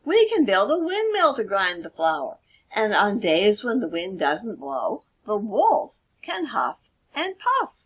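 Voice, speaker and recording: A woman's voice: medium pitch, wildly swooping pitch, takes it slowly, breathy edge, heavily acted. A woman playing a bold, proud, educated female pig in a children's storybook, speaking assertively and defiantly. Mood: eager, persuasive. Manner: assertive, declaiming. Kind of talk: children's storybook read-aloud.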